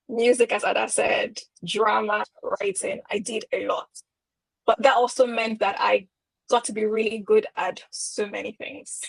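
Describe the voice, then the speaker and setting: A woman's voice: a little high, gravelly, slightly rough, no room sound, poor audio. A young woman from Lagos, a panel guest telling her school story in a chatty, easy way. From Nigeria (Lagos). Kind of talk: casual storytelling.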